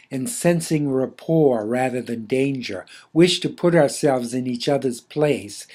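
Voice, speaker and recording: Man reading a philosophical essay deliberately. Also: mid-range voice. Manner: measured, deliberate, formal literary phrasing. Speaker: essay author reading own work.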